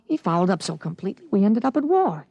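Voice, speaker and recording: Casting: precocious thirteen-year-old with an old soldier's certainty, matter-of-fact, insistent, 1950s radio drama, close dry sound. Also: male voice, high-pitched, sing-song, fast, gravelly, dark tone, no echo, muffled recording, full character voice. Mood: confident, persuasive.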